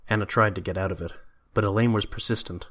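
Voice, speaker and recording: Man, lowish voice, scripted storytelling, narrator of an audio drama. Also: steady tone, quick pace, fairly bright tone.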